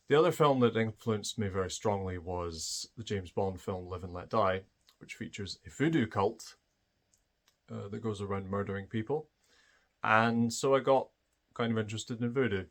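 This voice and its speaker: Thoughtful Scottish-accented man, low voice. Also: fairly bright tone. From Scotland. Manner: thoughtful, hesitant, many fillers and restarts. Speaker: channel host. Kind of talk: personal storytelling to camera.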